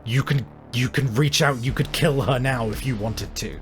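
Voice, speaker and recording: A man narrating a tabletop roleplaying game as game master, speaking casually and haltingly, with some swearing. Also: medium pitch. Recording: music playing behind. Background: background music.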